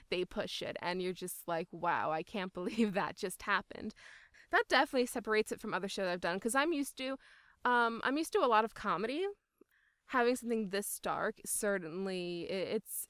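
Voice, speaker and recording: Clear, smooth-voiced woman in an interview. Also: medium pitch, fairly bright tone, no room sound, studio quality. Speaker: voice actor being interviewed. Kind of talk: casual, enthusiastic interview talk.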